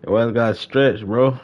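A young adult man chatting casually as he streams a game, slangy and excitable, often repeating his phrases. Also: mid-range voice, dull audio. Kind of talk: casual livestream gaming chat.

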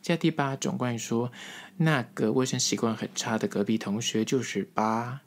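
A man with a Taiwanese Mandarin accent hosting a casual, humorous solo podcast, telling things in a chatty way with drawn-out emphasis. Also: medium pitch, steady tone. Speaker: podcast host.

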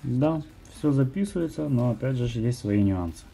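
A man's voice: mid-range voice, lively intonation, normal speed, creaky, warm tone, poor audio. A young man narrating a tutorial for viewers as a channel host, explaining things in an informal way. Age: young adult. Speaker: host of a phone tips channel.

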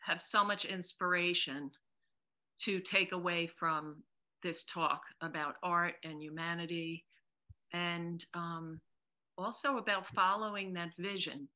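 A middle-aged woman hosting a memorial event over a video call from a small room, thanking speakers with loose pauses. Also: on the low side, steady tone, sharp tone. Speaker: event host.

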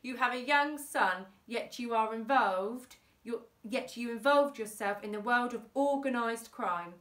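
A woman's voice: fairly high pitch, unhurried, fairly bright tone, distant mic. Stern, pompous storybook judge played by a woman, indignant and scolding, echoey room. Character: stern, indignant judge passing sentence on a criminal. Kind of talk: children's storybook character reading. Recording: roomy, echoey indoor sound.

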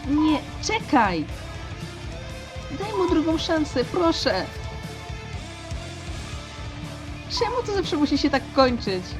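A high-pitched, naive young creator from a cartoon fan dub voiced by a woman. The child sounds earnest, hesitant and eager to please, with lively inflection and faint music behind. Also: unhurried. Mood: confused, pleading. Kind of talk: animated fan dub acting.

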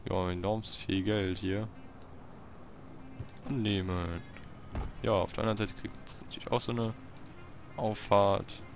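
A man giving casual gameplay commentary, talking to himself in short, halting remarks. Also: on the low side, steady tone, soft background music. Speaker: let's player hosting a city-building game series.

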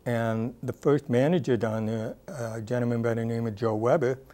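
A man in his sixties, a former rehabilitation counselor, recalling his career in a thoughtful, unhurried oral history interview, with frequent ums and uhs, recorded on a lapel mic in a dark studio. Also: mid-range voice, steady tone.

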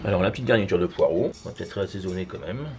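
Low-voiced chef in a noisy kitchen. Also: male voice, expressive, rapid-fire. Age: middle-aged. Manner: informal, talking while working with his hands. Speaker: chef demonstrating a recipe. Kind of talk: casual step-by-step cooking demonstration. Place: professional restaurant kitchen, tiled, indoors. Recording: clearly noisy kitchen with clattering dishes. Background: loud kitchen ambience, clattering pans and cutlery.

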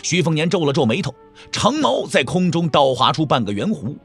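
A man's voice: a little high, big pitch swings, a little fast, husky, faint music behind. A man narrating a martial-arts audio drama as a dramatic scripted storyteller.

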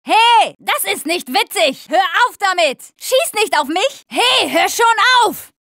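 A woman's voice: very high-pitched, very animated, slow pace, a bit bright, no echo, studio quality, full character voice. An actress playing a tough, sarcastic young woman surviving a zombie apocalypse in a video game, blunt and urgent in manner. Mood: annoyed. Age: young adult. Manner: blunt, foul-mouthed, urgent. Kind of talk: video game voice acting, combat barks and quips.

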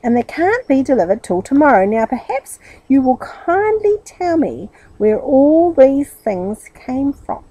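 A woman's voice: high-pitched, very animated, measured pace, few pauses, dark tone, no echo, lo-fi sound, pitched above the performer's own voice. A woman voicing a stern, exasperated aunt in a children's story, scolding her silly nephew firmly and emphatically.

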